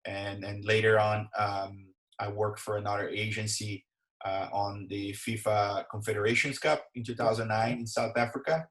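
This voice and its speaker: A Brazilian-accented man answers interview questions in a low, fairly even voice. He speaks in a halting, conversational way as he tells his own story. The recording sounds roomy and echoey. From southern Brazil. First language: Portuguese. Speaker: podcast guest, sports executive.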